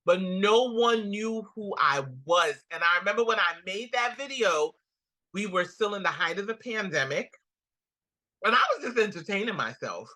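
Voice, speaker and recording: Bright-voiced guyanese woman telling stories. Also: medium pitch, normal speed, rough recording. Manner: animated storyteller, acts out quotes. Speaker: guest comedian. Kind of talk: casual interview chat.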